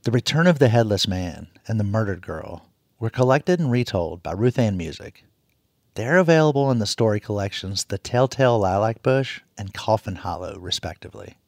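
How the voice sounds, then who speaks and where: A man's voice: lowish voice, animated, normal speed, gravelly, a little mellow, no echo, clean studio sound. A man hosting a radio show, reading scripted introductions and credits.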